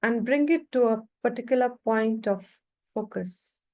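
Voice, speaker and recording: Slow, higher-pitched woman guiding meditation. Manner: calm, unhurried, with long pauses between short phrases. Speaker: guided meditation teacher. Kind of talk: guided meditation instruction.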